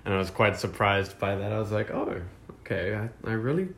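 A man's voice: lowish voice, a little fast, gravelly. A man in his twenties hosting a casual podcast chat, talking with many fillers and restarts. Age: 20s. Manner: hesitant, lots of fillers and restarts. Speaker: podcast host.

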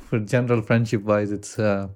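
A man with an Indian accent hosting a casual podcast chat, talking loosely with frequent swearing and halting restarts. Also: lowish voice, little pitch movement, darkish tone. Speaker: podcast host.

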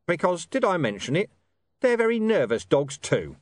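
A man plays a storybook police commander, sardonic and menacing. He speaks in halting, mock-casual sarcasm, raised and animated in pitch, with a very dry sound. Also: no room sound. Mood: sarcastic.

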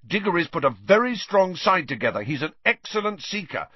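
A man's voice: medium pitch, very animated, normal speed, slightly rough, no echo, highs cut off, voice pushed up. A man reading a storybook, voicing an intense, anxious, bossy teenage team captain in an urgent, emphatic manner. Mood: urgent, shouting.

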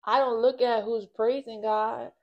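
A woman in a casual faith discussion, talking in an animated, emphatic way, often saying "like" and describing her own voice as loud and projected. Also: a little high, a little slow, dull audio.

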